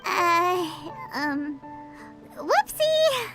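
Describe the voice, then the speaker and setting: A woman voicing a tiny, cheeky fairy girl companion in an anime game, with a squeaky childlike voice and a cutesy, exaggerated delivery. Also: big pitch swings, extremely slow, full character voice. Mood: sheepish. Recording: game music behind the voice.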